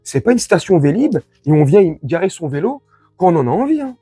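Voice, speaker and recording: A man from France with a husky voice, giving an informal, crude and sarcastic opinion rant to his followers as an online commentator. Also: high voice, sing-song, a little fast, mellow, dry recording, studio quality, soft background music. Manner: crude, slangy, sarcastic, indignant.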